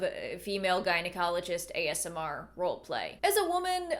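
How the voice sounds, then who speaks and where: A woman giving conversational video commentary in a wry, deadpan manner. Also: a little high. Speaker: video essayist and host.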